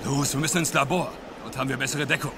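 A tough male military captain voiced by an actor in a sci-fi audio drama. He speaks at a medium pitch, curt and commanding, over clearly noisy surrounding effects. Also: fairly even intonation, fast talker, natural voice. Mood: grim, focused. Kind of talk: German audio drama acting.

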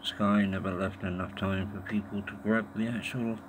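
A man giving a casual, halting hands-on demonstration, muttering to himself in an informal way. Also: fairly low pitch, steady tone. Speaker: tech video maker. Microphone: handheld phone or camera held over the device. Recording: noisy amateur handheld camera recording.

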